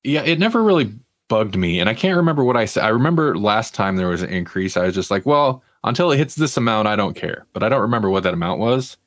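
Guy podcast host, lowish voice, wry casual chat about pop culture, super dry sound. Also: big pitch swings, fast talker, few pauses, no room sound.

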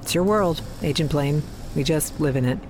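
An actress playing a guarded, defensive research scientist in a sci-fi audio drama. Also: female voice, low-pitched, moderate pace, noisy background, close to an everyday voice.

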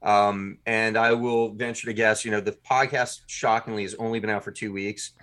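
A man's voice: lowish voice, steady tone, moderate pace, a bit bright. A man being interviewed as a podcast writer and producer, talking casually and colloquially in short bursts.

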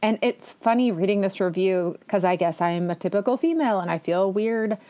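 A woman's voice: mid-range voice, a little fast, mellow. A woman hosting her own podcast, talking in a chatty, enthusiastic way with self-deprecating asides. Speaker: podcast host. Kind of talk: casual solo podcast talk.

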